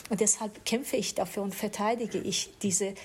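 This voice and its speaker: A woman's voice: mid-range voice, steady tone, moderate pace, a little mellow, slight background noise. A middle-aged woman from Iran speaking German as a second language, telling her story earnestly on a panel and repeating words for emphasis. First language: Persian. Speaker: democracy educator, guest. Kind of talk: personal testimony in a panel talk. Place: event room with an audience.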